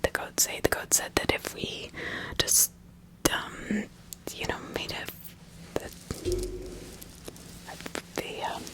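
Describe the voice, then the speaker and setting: A woman ASMR host performing an improvised, halting roleplay in whispers. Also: fairly bright tone, poor audio.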